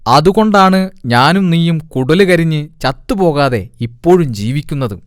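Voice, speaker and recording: A man's voice: fairly high pitch, animated, a little slow, dry recording, studio quality. A man voicing a pompous, scheming cat king in a cartoon, with a commanding, self-important manner. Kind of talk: cartoon voice acting.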